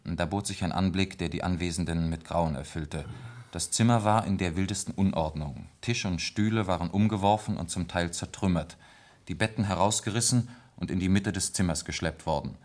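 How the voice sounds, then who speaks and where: Man acting a police lieutenant, low voice, formal, careful, dutiful, reading a report, radio drama. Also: brisk, a little mellow, natural voice. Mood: formal, sober. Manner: formal, careful, hesitant between items. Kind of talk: German radio play acting.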